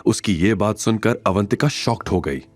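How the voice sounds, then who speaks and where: A man's voice: fairly low pitch, normal speed, dry recording. A man narrating a scripted audio drama in a dramatic storytelling style. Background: music bed.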